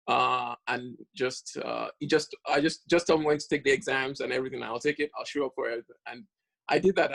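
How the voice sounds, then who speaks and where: A man's voice: medium pitch, gravelly, fairly bright tone. A man, chatting as a guest on a video call, talking freely with lots of hesitant fillers. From Nigeria. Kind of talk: casual video-call conversation.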